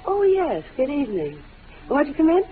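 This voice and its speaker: A woman's voice: high-pitched, huge pitch swings, moderate pace. An actress playing a poised, defensive young woman in a 1940s radio melodrama, genteel and theatrical. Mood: polite. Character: poised, defensive young woman shielding her guilty father. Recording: tinny, noisy old radio broadcast.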